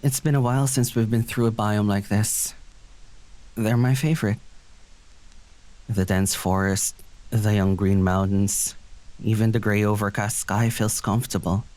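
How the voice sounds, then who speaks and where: An actor playing a weary, withdrawn poet in an audio drama, soft-spoken, hesitant and introspective. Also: male voice, fairly low pitch, steady tone, measured pace, pauses often, lightly acted, poor audio. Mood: wistful, introspective. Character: Glass, weary withdrawn poet in a creative slump.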